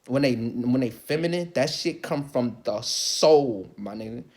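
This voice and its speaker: A man ranting casually to the camera, swearing often, repeating himself and rising to loud, excited outbursts. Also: mid-range voice, unhurried. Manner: calls his own voice light. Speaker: vlogger.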